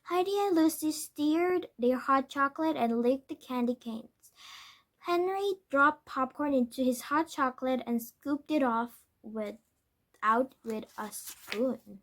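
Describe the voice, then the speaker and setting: High-voiced woman reading slowly. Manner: halting, often stumbles and corrects words. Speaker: read-aloud storyteller. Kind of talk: scripted children's book narration.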